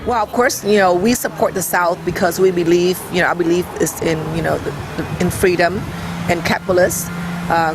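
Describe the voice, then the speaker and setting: A middle-aged speaker from Vietnam rambles through family memories, thinking aloud as they go. The voice has a gravelly, fry-edged texture. Clear background noise and traffic sit behind the speech. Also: mid-range voice. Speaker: interviewee. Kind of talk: oral history interview.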